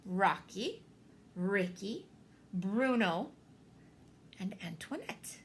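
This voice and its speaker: A woman reading a children's picture book aloud, expressively, with theatrical pauses and deliberate emphasis. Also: mid-range voice, animated, slight background noise. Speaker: storybook reader, teacher.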